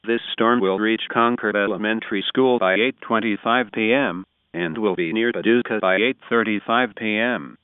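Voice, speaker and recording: A synthetic man's voice reading an emergency weather warning in a measured, mechanical way over narrow radio sound. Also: fairly low pitch, smooth, dry recording. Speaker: automated weather radio announcer.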